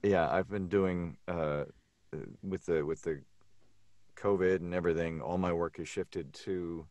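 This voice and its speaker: A man chatting casually among podcast colleagues, rephrasing as he goes in a halting way. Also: low voice, fairly even intonation, darkish tone, no room sound. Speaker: podcast cast member. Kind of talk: casual chat.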